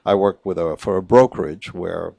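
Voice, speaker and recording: Very deep-voiced affable middle-aged man. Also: vocal fry, a little mellow, no echo. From Puerto Rico. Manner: affable, agreeable, quick to chime in. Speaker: reverse mortgage consultant guest. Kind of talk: casual radio interview.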